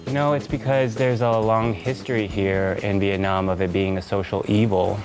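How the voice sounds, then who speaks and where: A man speaks in a very low voice, laying out his points earnestly and steadily. He pauses often on "uh" and "um" as he explains. Music plays clearly underneath. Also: fairly even intonation. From New York City, USA. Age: 30s. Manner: earnest, explanatory, with frequent fillers. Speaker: guest. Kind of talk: casual interview talk.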